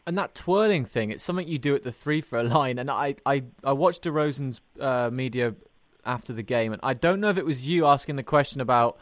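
A man's voice: medium pitch, brisk, no room sound. Male podcast interviewer, mid-range voice, close and dry, stat-led sports questions. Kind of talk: interview questioning mixed with prepared sports commentary.

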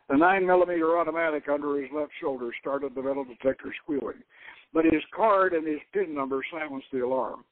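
Male narrator for adventure audiobook-style reading, higher-pitched, measured, slightly halting, on narrow phone-like sound. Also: no room sound. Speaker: show host reading his own novel. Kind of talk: dramatic reading of an adventure novel. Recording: tinny, narrow-band radio-style sound.

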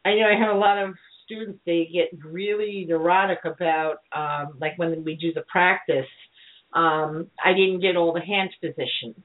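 A woman's voice: on the low side, steady tone, moderate pace. Chatty woman host on narrow phone-like audio. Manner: informal, chatty. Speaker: radio show host. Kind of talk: casual interview chat. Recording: narrow phone-like internet radio sound.

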